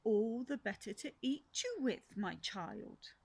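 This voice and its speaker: A woman's voice: fairly high pitch, lively intonation, slow, a little mellow, breathy edge, no room sound, heavily acted. A woman voicing a wicked, sly male wolf for a children's storybook, coaxing and falsely friendly. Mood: falsely sweet, menacing. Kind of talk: children's storybook read-aloud.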